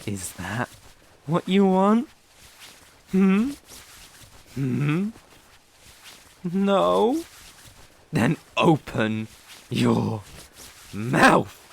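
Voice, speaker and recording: A man's voice: fairly high pitch, sing-song, drawn-out words, vocal fry, pauses often, breathy edge, full character voice. A British man playing an obsessive, possessive young kidnapper in an intimate ASMR roleplay, coaxing and menacing in a condescending way, close on the microphone.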